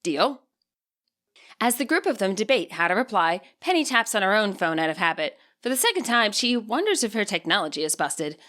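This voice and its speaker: A woman reading scripted present-tense fiction as an audiobook narrator, with an expressive storyteller delivery. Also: mid-range voice, a little fast, hardly any pauses.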